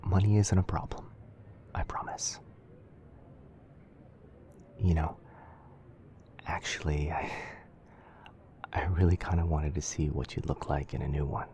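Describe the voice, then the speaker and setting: A man playing a gentle young wizard boyfriend in an ASMR roleplay, speaking softly and often near a whisper with a tender, hesitant manner. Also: very deep voice, fairly even intonation, a little slow, pauses often. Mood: gently concerned. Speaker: roleplay audio performer.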